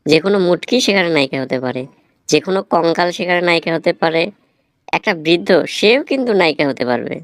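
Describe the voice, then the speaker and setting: A man's voice: fairly high pitch, lively intonation, fast, a bit bright, clear, resonant tone, dry recording, studio quality. A man voicing a blunt, teasing film writer who lectures sardonically in a Bangladeshi accent, in a comic cartoon. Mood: lecturing.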